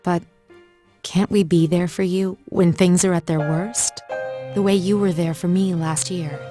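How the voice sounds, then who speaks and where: A very low female voice for a dignified, earnest older schoolgirl acted by a woman in an anime game dub. She speaks formally and with measured composure, over music. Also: fairly even intonation, dark tone, close to an everyday voice. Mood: solemn, consoling.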